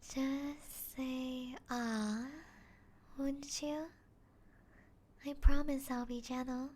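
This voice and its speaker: A woman voicing a seductive, teasing female vampire in an intimate ASMR roleplay, breathy and often close to a whisper. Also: high voice, steady tone, drawn-out words, darkish tone, slight background noise. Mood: sly, coaxing.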